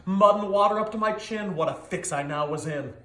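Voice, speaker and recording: A man voicing a big, eager, clumsy dog telling his own story in an expressive, rhythmic storybook read-aloud with a roomy echo. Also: high-pitched, fairly quick. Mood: desperate. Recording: echoey, roomy sound.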